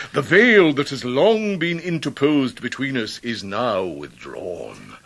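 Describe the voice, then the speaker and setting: A grandiloquent, effusive English gentleman fallen on hard times in a radio drama. His middle-pitched voice swoops and swells theatrically. Every phrase is florid and pompous. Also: no echo. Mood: solemn, formal.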